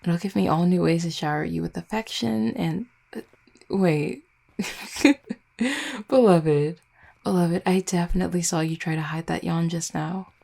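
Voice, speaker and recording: A woman's voice: on the low side, unhurried, gravelly, mellow. A woman playing an affectionate, teasing vampire girlfriend in an intimate roleplay audio, speaking in a tender, conversational way.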